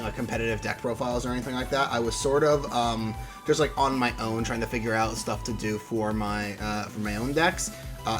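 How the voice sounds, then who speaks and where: A young man with a mild cold and a sore throat talks casually, rambling and restarting his sentences with plenty of fillers. His voice sits at an ordinary middle pitch. Faint music plays beneath him. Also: fairly bright tone. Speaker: card game YouTuber. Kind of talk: casual deck profile vlog.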